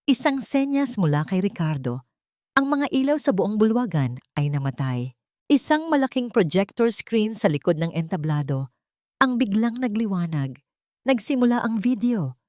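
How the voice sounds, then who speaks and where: A woman with a Filipino accent narrating a scripted dramatic story in measured, dramatic phrases. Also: mid-range voice, very animated, darkish tone, no echo, studio quality.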